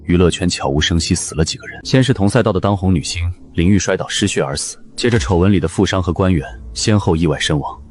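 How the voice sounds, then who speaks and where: A male actor as an aloof, powerful man with a very low voice. He speaks in terse, dry phrases, cool and commanding, as the lead of an animated drama. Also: fast, hardly any pauses, close to an everyday voice. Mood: cool, factual.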